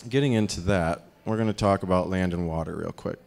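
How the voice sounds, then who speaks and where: A man in his thirties with a deep voice, giving a rambling live talk on a dark stage into a handheld microphone, full of fillers. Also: fairly quick, vocal fry. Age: 30s. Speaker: speaker.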